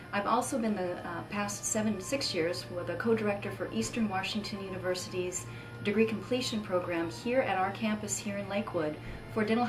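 A woman's voice: mid-range voice, rough recording. A middle-aged woman giving an informational talk as a college program director. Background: prominent music.

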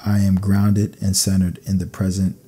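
Male narrator, low voice, very even intonation, calm guided affirmations, soft ambient noise behind. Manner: calm, soothing, measured delivery. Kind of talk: scripted sleep meditation affirmations.

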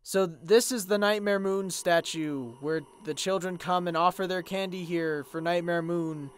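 A woman voicing an earnest, flustered young unicorn pony guide in a cartoon parody, talking in a halting, awkwardly chipper way. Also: fairly low pitch, fairly even intonation, a little hiss or hum, lightly acted. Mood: chatty. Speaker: voice actor in a parody dub. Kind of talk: conversational animated comedy acting.

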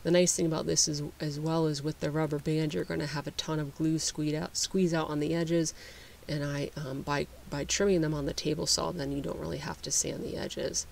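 Gravelly man explaining woodworking steps. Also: fairly high pitch, brisk, slight background noise. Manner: relaxed, explanatory, with frequent restarts and fillers. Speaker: woodworking channel host. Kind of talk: voice-over narration of a how-to.

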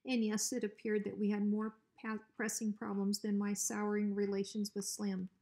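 Woman reading a storybook, medium pitch. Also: completely flat delivery, normal speed, dark tone. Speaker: school principal reading aloud. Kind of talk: expressive children's storybook narration.

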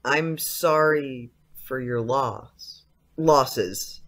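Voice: medium pitch, very slow, a bit bright. An actor playing a polite, skeptical, uneasy schoolboy in an audio play, speaking hesitantly. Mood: sympathetic. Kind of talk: audio drama acting.

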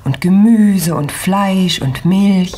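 A woman playing a patient, busy mother who explains gently in a children's radio play. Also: medium pitch, takes it slowly. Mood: explaining.

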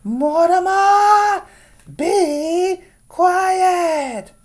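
Man doing shrill, very high yelling kids. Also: very slow, heavily acted. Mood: fed up. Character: crowd of fed-up young brothers and sisters.

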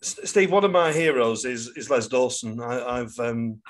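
Male interviewer, average pitch, conversational, slightly halting as he puts questions to a guest. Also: animated. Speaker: podcast host, interviewer. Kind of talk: casual interview chat.

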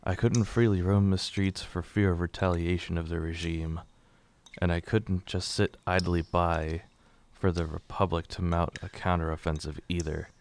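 Narrator: man with a deep voice, serious, measured delivery of scripted radio drama. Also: gravelly, darkish tone, slight background noise.

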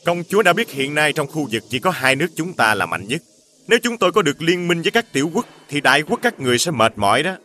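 Calculating young prince, fairly high voice, bright forceful tone, scheming, animated fairy tale. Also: male voice, quick pace.

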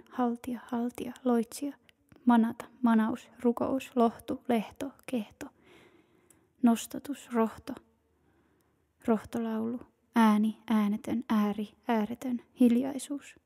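A woman whispering a slow, rhythmic chant of single words in a breathy, hushed voice. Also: a little high, flat delivery. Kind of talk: whispered incantation-like word chanting.

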